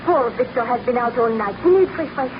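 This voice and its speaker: Casting: actress as devoted, worried wife, high voice, earnest 1930s radio melodrama, noisy vintage recording. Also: female voice, lively intonation, fast, muffled recording, lightly acted. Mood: tender concern. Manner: formal, emotive, earnest. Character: anxious, devoted wife of a troubled scientist.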